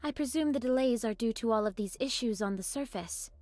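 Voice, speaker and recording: A woman's voice: a little high, brisk, a little mellow, no room sound, soft background music, close to an everyday voice. A woman playing a composed, capable young squad leader in an anime game, speaking decisively and politely.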